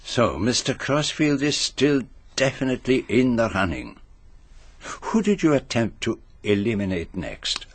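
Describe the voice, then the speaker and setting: An actor playing a shrewd, courteous detective with a Belgian French accent, questioning deliberately in a radio mystery drama. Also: male voice, medium pitch, very animated, dry recording. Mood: amused, probing. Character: shrewd, courteous foreign detective, methodical and probing.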